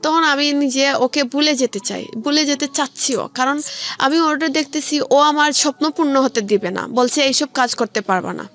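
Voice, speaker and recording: A woman's voice: high voice, moderate pace, a bit bright. A young woman with a Bangladeshi accent telling her life story in a colloquial way during a radio interview, often quoting conversations directly. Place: radio studio. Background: background music.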